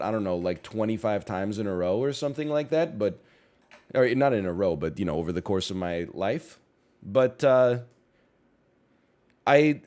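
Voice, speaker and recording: A man's voice: medium pitch, a little mellow. A young man from Canada chatting casually on a livestream, slightly congested, rambling and correcting himself with jokey asides. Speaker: streamer. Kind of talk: casual chat.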